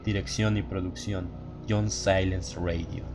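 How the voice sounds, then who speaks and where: Slow, lowish-voiced man reading credits. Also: steady tone, over music. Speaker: audiobook narrator and producer. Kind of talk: scripted credits announcement.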